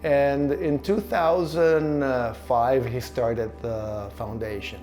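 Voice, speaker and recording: An older man from Chile who runs an orphanage, speaking in a halting, earnest way in a documentary interview. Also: mid-range voice, fairly even intonation, a little mellow, faint music behind. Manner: halting, earnest, searching for words. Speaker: orphanage director.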